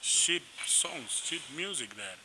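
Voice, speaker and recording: A young man from South Sudan, a musician being interviewed, telling his story in a rambling, animated way with restarts. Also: a little high, slow pace. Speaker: musician guest. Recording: noisy background.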